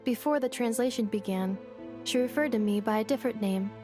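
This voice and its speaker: An actress voicing a calm, analytical female android in a video game, speaking in flat, precise phrases. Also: fairly high pitch, fairly quick, lightly acted. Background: game music.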